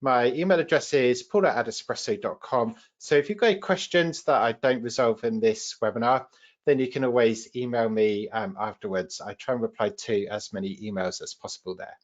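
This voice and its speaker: A British man leads a live webinar as its trainer, speaking at a medium pitch. His delivery is informal and explanatory, like a practical presentation to learners. The audio is a little dull and lo-fi. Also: fairly quick. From United Kingdom.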